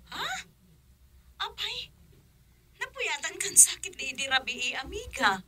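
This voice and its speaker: A woman acting an excitable, alarmed madam in an Ilocano radio drama. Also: high-pitched, measured pace, heavily acted. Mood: curious, chatty.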